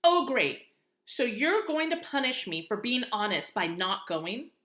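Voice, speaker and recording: A woman narrator voices a defensive teenage sister who snaps back in an indignant, raised voice. Her tone is bright and edgy, with a storybook reading feel. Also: on the high side, lively intonation, unhurried, echoey room, voice pushed up. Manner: snappish and indignant. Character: defensive teenage girl, older sister, snappish.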